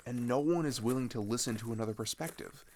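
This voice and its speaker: A young man playing an earnest, frustrated teenage traveller in an ASMR audio roleplay, talking in a casual, halting, rambling way. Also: medium pitch, a little mellow, slight background noise, lightly acted.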